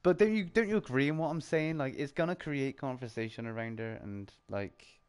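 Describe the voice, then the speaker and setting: A man with a British accent, hosting a casual round-table chat about games, talking in quick bursts, often laughing and filling with "like". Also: mid-range voice, clean tone. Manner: animated, chatty, laughs often, heavy filler "like". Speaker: streamer and show host.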